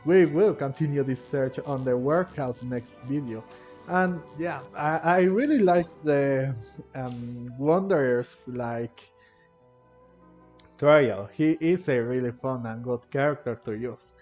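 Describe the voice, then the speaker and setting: Male gaming creator, mid-pitched, informal commentary and read-aloud choices, slightly muffled lo-fi sound. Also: slow pace, darkish tone. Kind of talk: casual gameplay commentary, reading dialogue choices aloud. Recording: dull lo-fi mic, faint game music.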